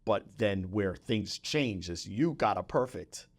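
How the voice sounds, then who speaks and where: A man's voice: lowish voice, expressive, unhurried. A man interviewing someone in a casual, conversational way, restating points and affirming the guest. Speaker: podcast interviewer, physical therapist.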